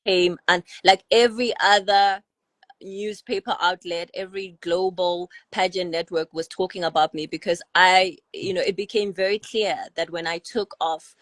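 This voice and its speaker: A woman's voice: on the low side, steady tone, normal speed, a bit bright, no room sound. A young woman telling her own story as a podcast guest, heartfelt and earnest, with many "you know" and "like" fillers. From South Africa. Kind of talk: personal storytelling in a podcast interview.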